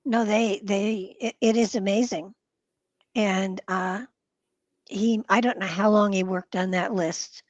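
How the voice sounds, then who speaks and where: A woman's voice: mid-range voice, fairly even intonation, slow, dry recording, highs cut off. A woman speaking casually and conversationally as an interview guest.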